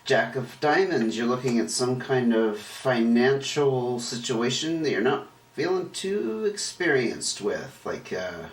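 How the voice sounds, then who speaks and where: A man hosting a tarot reading in a rambling, chatty way, his voice rough and hoarse as he recovers from illness. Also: mid-range voice, expressive, measured pace. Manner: chatty, rambling, self-correcting. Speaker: tarot reader and channel host. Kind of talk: casual tarot card reading to camera. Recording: roomy echo, distant mic, faint noise.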